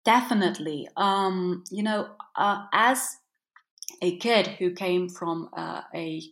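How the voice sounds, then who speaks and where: A woman's voice: lowish voice, takes it slowly. A woman from Ukraine, a native Ukrainian speaker, giving discursive interview answers in English and often saying "you know". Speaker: interview guest, journalist and translator. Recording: roomy, echoey sound.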